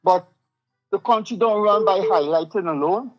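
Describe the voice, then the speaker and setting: A man's voice: high voice, moderate pace. A man in a casual political debate, firm and arguing back. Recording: dull lo-fi sound with faint music.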